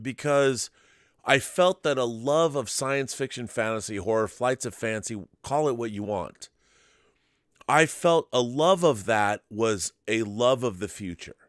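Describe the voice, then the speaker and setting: A man's voice: medium pitch, no echo, clean studio sound. A middle-aged man hosting a talk show, giving his opinions emphatically in casual discussion and often repeating words as he gets going.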